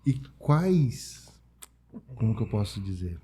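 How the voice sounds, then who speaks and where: A Brazilian man with a husky voice hosting a casual interview, agreeing briefly and asking follow-up questions. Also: on the low side, very animated, slow, warm tone. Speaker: podcast host.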